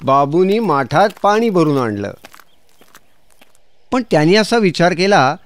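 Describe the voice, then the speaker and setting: A man's voice: sits high, sing-song, a little slow, no echo. A man narrating a scripted children's fairy tale as storyteller.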